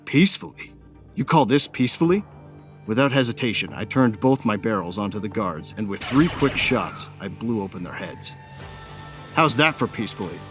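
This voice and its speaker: A man's voice: fairly low pitch, lively intonation, moderate pace, music in the background, lightly acted. A man playing a cocky, hot-tempered bounty hunter who tells his own story in a brash, colloquial, hard-boiled way. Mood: furious, taunting. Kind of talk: first-person dramatised audiobook narration.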